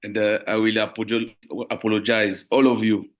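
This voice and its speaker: A man from the DR Congo with a Congolese French accent, giving a formal presentation as a lawyer and teacher in careful, halting non-native English. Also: on the low side, flat delivery. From Democratic Republic of the Congo. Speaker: lawyer and university teacher, guest speaker.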